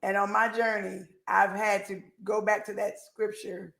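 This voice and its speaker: A woman's voice: medium pitch, unhurried, vocal fry, a crowd in the background. A woman in her forties hosting a podcast over a video call, chatting casually and repeating phrases for emphasis. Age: 40s. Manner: animated, repeats phrases, faith-filled talk. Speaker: podcast host. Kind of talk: casual chat. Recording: echoey room.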